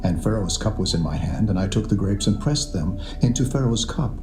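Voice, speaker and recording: An actor playing a wondering royal cupbearer in a dramatised scripture reading. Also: male voice, lowish voice, lively intonation, brisk, echoey room, over music, natural voice. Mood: wondering, measured.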